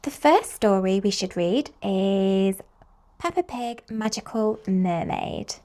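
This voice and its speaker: A woman reading picture books aloud to young children in an expressive, slow storytelling manner. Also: medium pitch, slight background noise. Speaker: children's storybook reader and host.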